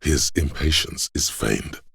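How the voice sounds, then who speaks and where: Super deep raspy guy narrating a game, slow solemn storytelling, really dry sound. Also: a bit bright, no room sound. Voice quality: gravelly, husky. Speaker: video game voice-over narrator. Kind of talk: scripted literary narration.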